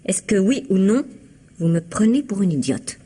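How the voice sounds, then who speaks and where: An actress playing an indignant, evasive young woman suspected of murder in a radio mystery, with a husky, breathy, flustered delivery. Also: medium pitch, very animated, moderate pace, mellow. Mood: flustered, affronted. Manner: flustered, hesitant, protesting. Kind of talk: French radio mystery drama acting.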